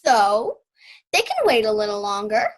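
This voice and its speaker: A woman's voice: mid-range voice, huge pitch swings, moderate pace, low-quality recording. A young woman playing an eccentric, dramatic old aunt and former vaudeville performer in an amateur radio play, theatrical and grandly reminiscing. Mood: haughty, theatrical.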